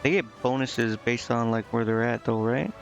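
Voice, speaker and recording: A man's voice: mid-range voice, moderate pace. A man livestreaming a game, thinking aloud in a casual, muttering way as he puzzles things out. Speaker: livestreamer playing a strategy role-playing game. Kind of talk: casual gaming stream commentary, thinking aloud. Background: game music.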